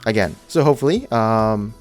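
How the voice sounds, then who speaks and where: A man's voice: fairly low pitch, animated, normal speed, faint music behind. A young man with a cold, talking through his own gameplay in a casual, rambling voice-over as a gaming creator, often criticizing his own mistakes. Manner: laid-back, self-critical, rambling. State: coming down with a cold. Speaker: gaming YouTuber.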